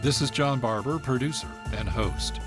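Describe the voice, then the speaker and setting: A man who is the producer and host of a radio program, giving measured, informative narration from a script. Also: medium pitch, music in the background.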